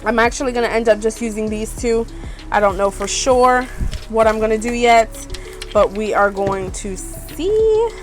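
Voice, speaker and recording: A woman's voice: on the high side, expressive, normal speed, faint music behind. A woman beauty vlogger with a stuffed-up, congested voice, chatting casually and rambling with lots of ums while she shows her purchases. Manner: chatty, rambling, many ums and "you guys". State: stuffed up from allergies. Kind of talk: casual haul chat. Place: small RV room.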